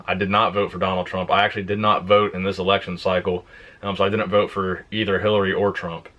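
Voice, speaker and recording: A young man on a fitness channel, casually answering viewer questions in a plain, relaxed way. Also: deep voice, fast. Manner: relaxed, plain-spoken, reads questions aloud then answers. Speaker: fitness YouTuber. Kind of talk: casual Q and A vlog.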